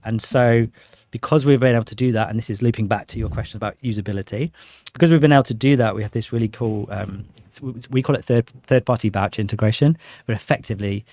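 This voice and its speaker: A man's voice: lowish voice, fast talker, a little mellow, dry recording. A man explaining a product conversationally as an interview guest, with enthusiasm and everyday examples. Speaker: interview guest, sales director.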